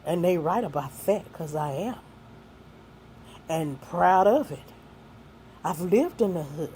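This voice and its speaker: A woman in her sixties shares hard-won advice with young people in a folksy, heartfelt way, leaning on faith and plain talk. Her voice sits low and mellow. A faint hiss of noise runs under the recording. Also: animated, takes it slowly. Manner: earnest and folksy, mixing faith and street talk. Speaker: speaker giving life advice.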